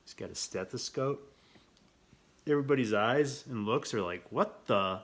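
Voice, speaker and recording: A man reading a children's picture book aloud as host, playful and theatrical, with expressive asides and questions. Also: medium pitch, moderate pace. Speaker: storyteller, host of a read-aloud show.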